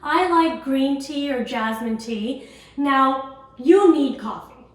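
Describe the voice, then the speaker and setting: Brief: upbeat young woman vlog host, high voice, in a roomy home kitchen heard from a few feet off. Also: animated, slow pace, far from the mic. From grew up in Indonesia, family from South India. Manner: upbeat, chatty, prompting questions. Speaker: vlogger host. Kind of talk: casual home cooking vlog chat. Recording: echoey room, voice from off mic.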